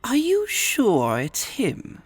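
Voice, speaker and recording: A man's voice: extremely high pitch, huge pitch swings, very slow, slightly rough, heavily acted. A man playing a cocky, inquisitive young aspiring politician in an audio drama, chatty and teasing. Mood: skeptical.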